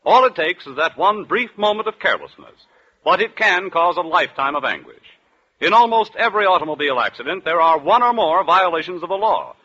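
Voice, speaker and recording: A man's voice: high-pitched, lively intonation, brisk, pushed and bright. A man announcing in a formal 1940s radio broadcast style. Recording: dull 1940s broadcast recording. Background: music.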